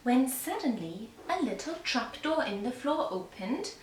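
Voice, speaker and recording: Slow, high-voiced woman storyteller. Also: sing-song. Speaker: storyteller and puppeteer. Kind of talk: scripted fairy-tale narration for young children. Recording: echoey room, distant mic, faint noise.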